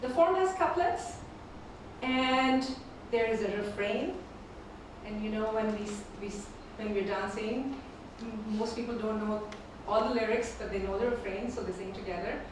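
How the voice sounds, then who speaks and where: A woman whose first language is Urdu, reading her poems slowly and repeating each first line at a live poetry event. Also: on the high side, noisy background. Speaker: featured poet. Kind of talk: poetry reading with spoken introductions. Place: performing arts venue. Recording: echoey hall from far off.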